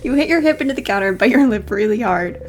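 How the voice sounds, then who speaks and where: A woman with a fairly high voice streams a video game. She reads its text aloud as narration and adds her own commentary, with music underneath. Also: expressive, fast, darkish tone, a little hiss or hum.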